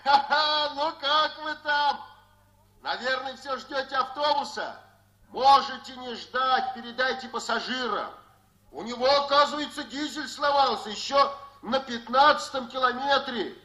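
Звонкий высокий голос болтливого монтёра. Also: male voice, very high-pitched, a little slow, bright, forceful tone, exaggerated voice, poor audio. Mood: folksy, chatty. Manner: folksy, chuckling, rambling. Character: chatty male repairman phoning from a cold forest road. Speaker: telephone line repairman. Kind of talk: 1960s Soviet radio play acting.

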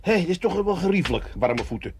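A man's voice: high-pitched, sing-song, quick pace, vocal fry, a little mellow, a little hiss or hum, full character voice. An actor playing the exasperated, sceptical grown son of a scheming father in a radio comedy, dry and indignant. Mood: brisk. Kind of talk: 1980s comedy radio drama acting.

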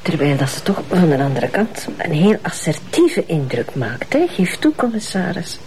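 A woman's voice: on the low side, sing-song, normal speed, a little mellow, a little hiss or hum. A woman with a Flemish accent playing an outspoken, chatty police detective in a crime drama. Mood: insistent. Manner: chatty, forthright. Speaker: actor.

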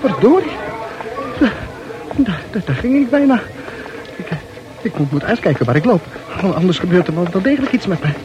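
An actor playing a fretful muttering bachelor. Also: male voice, high voice, very animated, moderate pace, pauses often, dull audio, a crowd in the background. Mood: fretting. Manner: anxious inner monologue. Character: nervous bachelor muttering his thoughts. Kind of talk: 1960s radio play acting. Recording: noisy old radio play recording.

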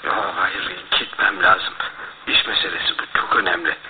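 An actor in a radio play playing a meek, troubled, evasive lodger who speaks haltingly and stammers. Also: male voice, deep voice, fairly even intonation, close to an everyday voice. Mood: nervous, evasive. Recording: noisy, lo-fi, phone-like old radio broadcast.

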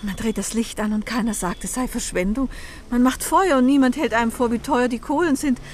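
An actress playing a timid, yearning young companion in a radio play, speaking earnestly and meekly. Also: female voice, a little high, a little fast, slight background noise, natural voice. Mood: wistful, yearning. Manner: earnest, meek, wistful. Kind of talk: German radio play acting.

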